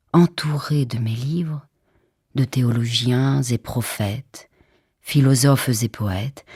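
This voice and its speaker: Female poetry reader for audiobook, breathy husky tone, unhurried solemn dramatic delivery. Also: rumbling low, mellow. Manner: measured, solemn delivery with deliberate phrasing. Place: radio theatre recording studio.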